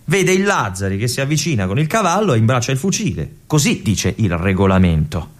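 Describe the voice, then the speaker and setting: An actor playing a brooding male army lieutenant in a theatrical monologue, with an intense, hushed delivery and phrases that drop to a whisper. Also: mid-range voice, big pitch swings, fairly quick, close to an everyday voice. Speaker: stage actor.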